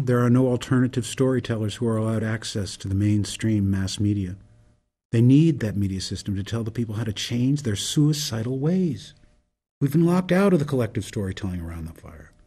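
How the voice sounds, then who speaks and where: A man's voice: mid-range voice, dark tone, slightly rough. A man playing a grave, disillusioned activist in a radio drama, solemn and poetic in delivery.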